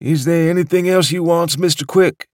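A man's voice: a little high, fairly even intonation, moderate pace, dry recording, clean studio sound, pitched above the performer's own voice, natural voice. A man voicing a sweet, doddering old male club waiter, mournful and respectful. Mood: tearful.

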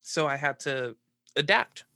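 A man's voice: a little high, lively intonation, unhurried, bright, forceful tone. A young man from the southern United States speaks thoughtfully in a casual discussion, reflecting on his experiences and sometimes searching for words as a student panelist. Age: young adult.

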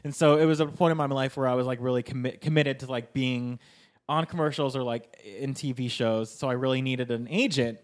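A man's voice: medium pitch, fairly quick. Rambling young man. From Sacramento, California, US. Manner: rambling storytelling, heavy use of "like". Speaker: guest, improv performer and writer. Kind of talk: casual podcast chat.

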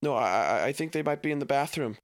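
A man's voice: medium pitch, normal speed, a bit bright, no room sound, pitched above the performer's own voice, natural voice. A man voicing a nervous teenage boy who stammers and hides something. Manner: stammering, jittery.

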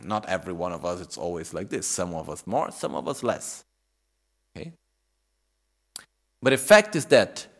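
A man's voice: mid-range voice, a bit bright. A man in his thirties giving a Buddhist dharma talk in non-native English, reflective and often checking in with "okay?". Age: 30s. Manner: reflective, repeats questions to the audience with "okay?" and "no?". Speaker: Buddhist teacher.

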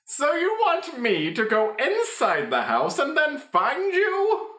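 A woman's voice: sits high, huge pitch swings, takes it slowly, fairly bright tone, heavily acted. A woman playing a scatterbrained, confused police detective in an improvised comic radio play. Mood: confused, blustering. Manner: confused, literal-minded, rambling. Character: bumbling, heavily armed, scatterbrained police detective. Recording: roomy, echoing room sound.

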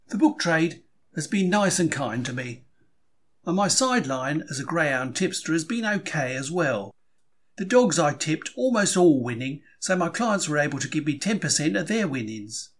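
A man reading as a chatty, cheeky 1930s London bookseller in an expressive, colloquial diary narration. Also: on the high side, breathy edge, full character voice. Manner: colloquial, exclamatory, opinionated. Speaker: reader of a diary. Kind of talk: expressive scripted audiobook-style reading.

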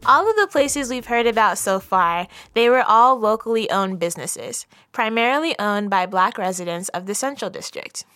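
A woman hosting a community podcast, reading scripted narration in a clear, friendly way. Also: mid-range voice, expressive, normal speed, few pauses, a bit bright, no room sound, studio quality. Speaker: podcast host.

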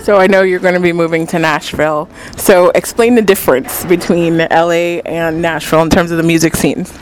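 A woman's voice: fairly low pitch, fairly even intonation, a little fast, slight background noise, poor audio. A woman interviewing a singer, asking short questions with brief encouraging replies. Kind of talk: casual interview.

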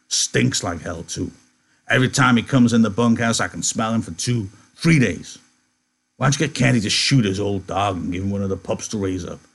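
A man's voice: lowish voice, gravelly, breathy edge. Man putting on a hearty, blunt big ranch hand.

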